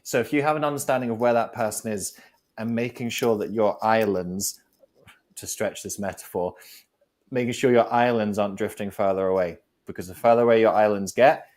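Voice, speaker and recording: A man's voice: on the low side, a little mellow. A man, a guest on a talk show, reflectively giving advice and building his points with analogies. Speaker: guest speaker.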